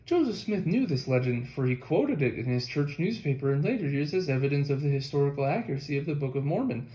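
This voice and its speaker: A man's voice: on the high side, sing-song, quick pace, mellow. A man reading a nonfiction history book aloud as scripted audiobook narration. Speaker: audiobook reader. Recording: echoey room.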